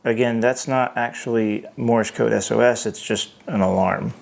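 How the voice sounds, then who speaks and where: A man's voice: mid-range voice, steady tone, moderate pace, vocal fry, very noisy. A man giving an unscripted hands-on product review as host, thinking aloud in a hesitant way.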